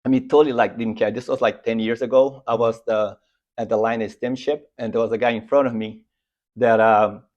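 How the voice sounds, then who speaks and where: A man, calmly telling a story in an interview. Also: mid-range voice, brisk, muffled recording.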